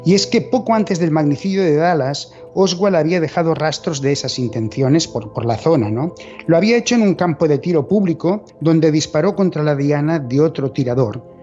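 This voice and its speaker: A male expert guest explains things in a dark, mellow tone at a slightly high pitch. He often checks with tag questions. The recording is a little dull. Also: expressive, moderate pace, faint music behind. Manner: seeks agreement with tag questions. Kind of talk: explanatory interview talk.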